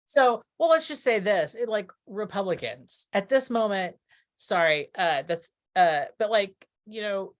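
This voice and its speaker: A woman's voice: medium pitch, expressive, unhurried. A middle-aged woman from Texas giving casual interview answers, thinking aloud and correcting herself as she goes. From Texas, United States. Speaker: interview guest, theatre producer.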